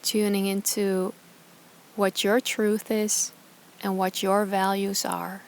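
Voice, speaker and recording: A woman with a mid-pitched, very even voice leads a guided meditation. She speaks softly and calmly, leaving space between short phrases. Clear ambient noise sits in the recording. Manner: calm, soft, soothing, with slow pauses between phrases. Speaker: yoga teacher, meditation guide.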